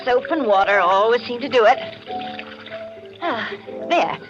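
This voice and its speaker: An actress playing a lively, commanding society hostess in a theatrical 1940s radio drama style, poised and gracious. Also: female voice, fairly high pitch, unhurried. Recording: muffled 1940s radio recording with music.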